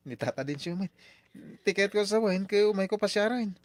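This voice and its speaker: A man's voice: high voice, animated, normal speed, no echo, studio quality. A man playing a courteous, eager young suitor in a radio drama, with an Ilocano accent. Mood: polite, hopeful. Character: courteous young male suitor visiting a sweetheart.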